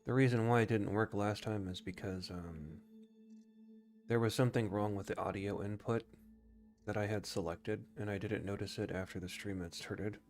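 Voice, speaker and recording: A man's voice: lowish voice, fairly even intonation, normal speed, darkish tone, faint music behind. A man giving casual live-stream commentary on a game, musing and thinking aloud.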